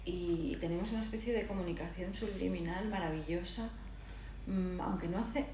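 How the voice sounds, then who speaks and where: A woman's voice: medium pitch, darkish tone, reverberant, slight background noise. A middle-aged woman from Galicia in Spain, talking reflectively about herself in a thoughtful, hesitant interview conversation, searching for her words.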